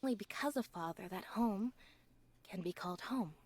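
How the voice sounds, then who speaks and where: A woman's voice: mid-range voice, animated, normal speed, darkish tone, husky, dry recording, clean studio sound. Composed, reserved young woman. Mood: reflective, reserved. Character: reserved young woman, composed stage magician's sister, softly aloof. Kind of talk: scripted video game character dialogue.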